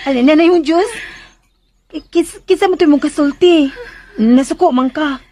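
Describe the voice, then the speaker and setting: A woman playing a meek, gentle young servant, hesitant and pleading, in a Cebuano radio drama. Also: high voice, moderate pace, a little hiss or hum, natural voice. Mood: anxious, soothing.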